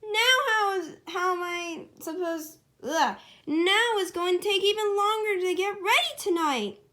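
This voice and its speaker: Woman voicing a high, flustered young hedgehog girl. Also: unhurried, bright, forceful tone, full character voice. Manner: bashful, easily flustered. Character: shy, excitable young female hedgehog sweetheart.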